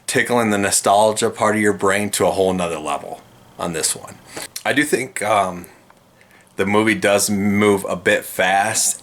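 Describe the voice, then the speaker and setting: A man in his thirties rambles through an excited game review, full of restarts and praise. His voice sits low but sounds bright and forward. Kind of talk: casual enthusiastic review to camera.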